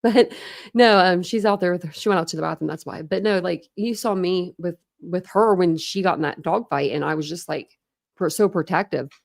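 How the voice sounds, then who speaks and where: A woman's voice: lowish voice, normal speed, vocal fry, no echo, clean studio sound. Chatty young woman losing her voice. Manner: rambling, chatty, many fillers and restarts. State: losing her voice, throat clearing. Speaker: true crime streamer. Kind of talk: casual livestream chat.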